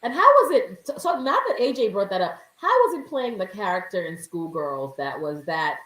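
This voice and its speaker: A woman's voice: medium pitch, very animated, normal speed, fairly bright tone, echoey room, poor audio. A woman hosting a live interview, eagerly asking questions and reading out viewer questions. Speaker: interview host.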